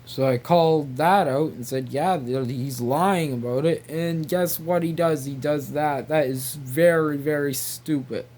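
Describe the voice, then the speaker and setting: A man rambling casually in a halting, repetitive, incredulous way. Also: on the high side, darkish tone. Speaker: video creator.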